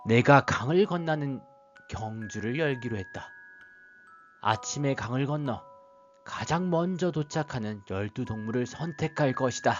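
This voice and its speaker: A man voicing a solemn, fatherly old emperor of heaven in a bedtime storybook, with soft music behind. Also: mid-range voice, highs cut off, close to an everyday voice. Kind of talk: storybook character voice.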